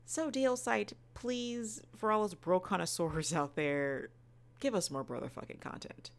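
Female podcast co-host, notably low voice, casual reviewing. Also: unhurried, vocal fry.

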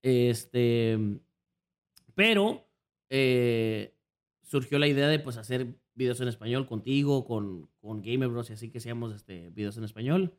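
A man's voice: medium pitch, measured pace. A man with a Mexican accent telling stories in a casual podcast chat, slangy and full of fillers. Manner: relaxed slangy storytelling, many fillers. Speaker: guest content creator.

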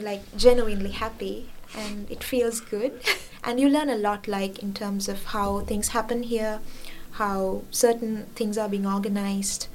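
A young woman from Sri Lanka talks easily in an interview, her voice pitched in an ordinary middle range. She keeps dropping in "like" and "you know" as she goes. Faint noise hums underneath. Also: a little mellow, poor audio. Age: young adult. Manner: chatty, many fillers like "like" and "you know", laughs often. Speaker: international student guest. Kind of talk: casual interview.

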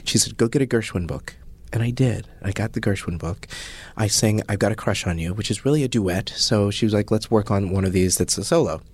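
A man as a podcast guest, telling a story in an animated, self-mocking way. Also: lowish voice, a little fast, husky, no room sound. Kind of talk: casual storytelling.

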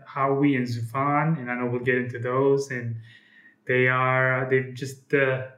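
A young man from Ethiopia talks as an interview guest, hesitant and full of restarts. His voice has a mid-range pitch. The recording picks up a roomy echo around him. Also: little pitch movement, unhurried, a bit bright, dull audio. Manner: hesitant, many fillers and restarts. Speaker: guest, comic book publisher. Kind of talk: casual interview.

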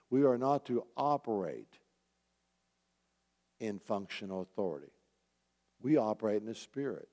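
Male pastor, mellow and on the low side, exhorting earnestly in a sermon, echoing hall sound. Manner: earnest, urging, repeats key phrases for emphasis. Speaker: pastor teaching a church group. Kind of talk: sermon, exhortation with rhetorical questions. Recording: roomy hall with long reverb.

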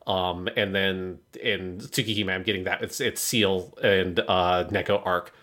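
A man's voice: deep voice, steady tone, measured pace, sharp tone. A man co-hosting a podcast, casually talking through an in-depth review in a rambling, hesitant way with frequent swearing. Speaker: podcast co-host.

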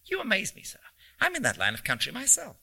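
A man voicing a tiny, squeaky-voiced gentleman in a story, quaintly formal and pompously polite. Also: wildly swooping pitch, fast talker, vocal fry, slightly rough, full character voice, rough recording. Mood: courteous, impressed.

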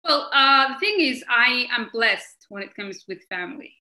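A woman talks in a roomy, echoing space. Her voice is bright and forceful and sits a little high. She stresses her points with energy and stumbles through fillers as she builds her answers. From Somalia. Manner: emphatic and animated, halting with many fillers and laughs. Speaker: guest, member of parliament. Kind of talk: conversational interview answers.

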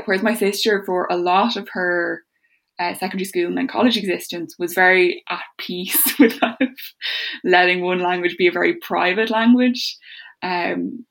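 A woman with an Irish accent talks in a lowish, gravelly voice. She is a legal academic being interviewed on a podcast, and she speaks in long, animated run-on stretches full of "like" and "kind of".

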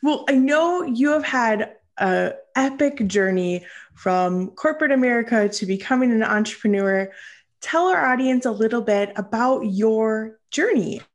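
A woman's voice: fairly high pitch, lively intonation, a little slow. A woman hosting a podcast interview, upbeat and enthusiastic as she introduces her guest. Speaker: podcast host.

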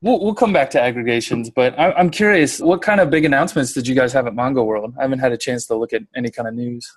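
A man's voice: mid-range voice, fast, dry recording. A man hosting a podcast from a hotel room, chatting casually and asking his guest friendly questions. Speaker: podcast host.

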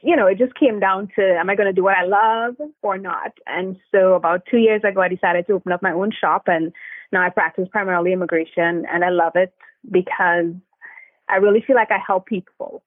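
A woman's voice: medium pitch, no echo. A woman from Trinidad and Tobago, an immigration attorney, telling her story as an interview guest with earnest fillers. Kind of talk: podcast interview, remote guest. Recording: tinny phone line.

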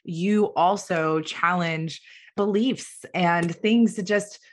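A woman's voice: fairly low pitch, takes it slowly. A woman hosting a conversational interview, affirming and reflecting back what she hears. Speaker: interview host.

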